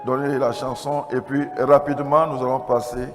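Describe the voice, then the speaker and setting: A man with an Ivorian French accent giving a testimony as a pastor at a church convention, his throat strained by a cold, moving between quiet asides and loud exclamations. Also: medium pitch, little pitch movement, moderate pace, soft background music. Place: large church convention hall. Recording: reverberant hall PA, noisy background.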